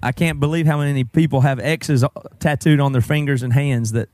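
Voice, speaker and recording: A man's voice: medium pitch, fairly even intonation, a little fast, darkish tone, dry recording. A man co-hosting a podcast with casual, joking, talkative banter. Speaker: podcast co-host.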